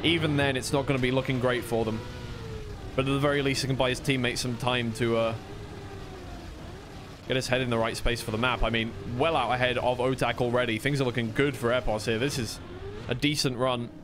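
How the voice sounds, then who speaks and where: A man with a mid-pitched voice calls a racing game match live as an esports commentator. His play-by-play runs over clearly audible background noise of vehicles and game ambience. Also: flat delivery, brisk.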